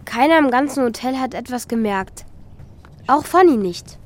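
A woman's voice: high-pitched, lively intonation, normal speed. A woman playing a thoughtful child who narrates their own story in a scripted audio drama. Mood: reflective.

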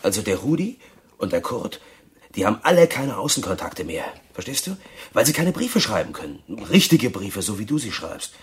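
A man's voice: fairly low pitch, big pitch swings, moderate pace, breathy edge, heavily acted. A man acting in a radio crime drama as a crude, jovial, uneducated prison cellmate who talks in a pushy, teasing, colloquial way. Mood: eager, scheming.

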